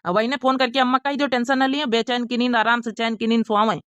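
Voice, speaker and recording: A woman's voice: a little high, little pitch movement, fast, a bit bright, dry recording, natural voice. A woman voicing a protective, generous young married son in a cartoon comedy, earnest and reassuring, with an Awadhi accent.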